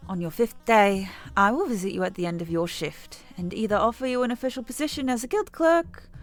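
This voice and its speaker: A woman's voice: medium pitch, animated, a little fast, faint music behind, natural voice. A woman reading out the dialogue of a cheerful female guild supervisor in a video game. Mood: welcoming. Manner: reads game dialogue aloud.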